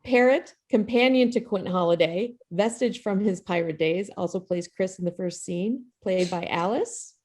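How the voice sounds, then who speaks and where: A woman's voice: mid-range voice, moderate pace, hardly any pauses, a little mellow. A woman hosting a table read over a video call, speaking informally with a jokey, self-deprecating manner. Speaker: playwright and host of an online table read. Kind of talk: informal spoken introduction to a show.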